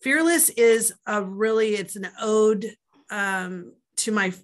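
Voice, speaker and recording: A woman's voice: mid-range voice, slow pace. A woman author chatting casually in a podcast interview, rambling with fillers and asides. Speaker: author guest.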